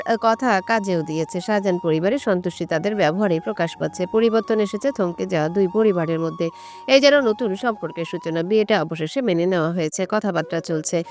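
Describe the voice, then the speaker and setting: A woman reading a scripted story as a dramatic narrator. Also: lowish voice. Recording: music bed under the voice. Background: background music.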